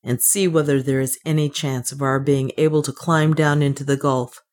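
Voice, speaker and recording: Woman acting a low, grave male adventurer. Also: normal speed, a little mellow. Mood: hoarse, urgent. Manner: grave, firm. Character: grim, steady male adventurer, kindly but firm. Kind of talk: dramatised audiobook dialogue.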